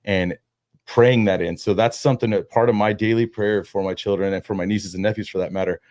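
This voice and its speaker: A man in his thirties chatting as a podcast guest, his words tumbling out fast with lots of restarts and casual slang. His voice sits a little low. The recording is close and dry, with no room sound. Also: fairly even intonation, darkish tone, dry recording. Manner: quick, loose talk with many restarts and "dude". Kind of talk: casual conversation.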